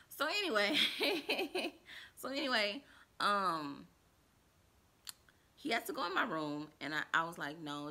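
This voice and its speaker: A woman's voice: fairly low pitch, vocal fry, pushed and bright. A woman, telling a personal story to her viewers in a rambling, casual way, full of fillers and asides, acting out what people said. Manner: acts out quoted dialogue in a raised voice. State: stuffy and under the weather.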